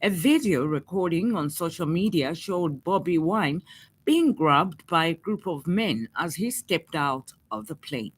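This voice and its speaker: A woman's voice: lowish voice, lively intonation, unhurried, husky. Zambian woman reading radio news over music. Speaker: news reader. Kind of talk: scripted radio news bulletin.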